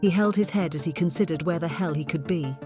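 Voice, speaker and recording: A woman narrates fiction in a dramatic audiobook style. Her voice sits somewhat low. Music plays clearly beneath the narration. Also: quick pace.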